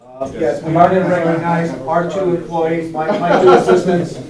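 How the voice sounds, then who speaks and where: A man's voice: a little high, little pitch movement, moderate pace, crowd noise. A man informally introducing himself as a military commander. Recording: echoey room, distant mic, loud noise.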